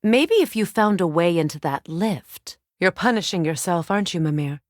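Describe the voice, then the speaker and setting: An actress voicing a stern, wise warrior goddess in a video game, speaking with measured, formal diction. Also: female voice, fairly low pitch, expressive, no echo, studio quality, natural voice. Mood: grave, probing. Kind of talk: dramatic video game voice acting.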